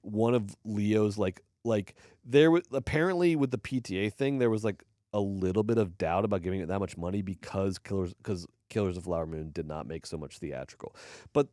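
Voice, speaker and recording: A man's voice: on the low side, brisk, warm tone, dry recording, clean studio sound. A man co-hosting a movie podcast, chatting casually in a blunt, opinionated way with plenty of swearing. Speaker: podcast co-host reviewing films.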